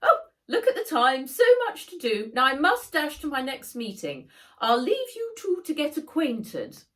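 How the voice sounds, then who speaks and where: A self-important, busy mother from a storybook, performed by a woman narrator. Her voice is high and swooping, with an airy, affected, dismissive manner. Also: huge pitch swings, unhurried, a bit bright, heavily acted. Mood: hurried, breezy.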